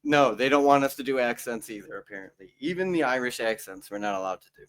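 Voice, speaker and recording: A man's voice: mid-range voice, lively intonation, fast. A young man hosting a casual podcast chat, joking and playful, often stumbling and restarting his words. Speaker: podcast host.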